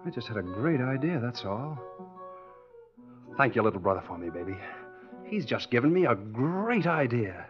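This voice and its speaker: A man's voice: medium pitch, big pitch swings, normal speed, pauses often, lightly acted. An American actor playing a tough, scheming engineer who tells his own swindle in hard-boiled 1940s radio drama style. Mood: sly, dreamy. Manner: hard-boiled first-person storytelling. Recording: dull 1940s radio recording with music.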